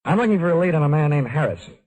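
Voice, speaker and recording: An American man playing a cool, flirtatious hardboiled investigator with wry, deadpan delivery in a 1950s radio drama. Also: a little high, racing, lightly acted, rough recording. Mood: flirtatious banter. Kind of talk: 1950s radio detective drama acting, first-person narration.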